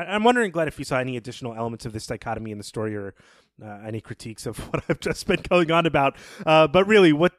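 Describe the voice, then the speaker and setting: A man co-hosting a literary podcast, talking through his analysis in a reflective, halting way as he searches for words. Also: medium pitch, no room sound, studio quality. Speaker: podcast host. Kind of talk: literary discussion, thoughtful analysis.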